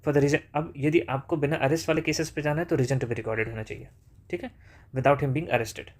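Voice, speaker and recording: A man's voice: a little high, fairly even intonation, normal speed. A man with an Indian English accent giving a legal lesson, explaining step by step and answering his own questions. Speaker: law lecturer.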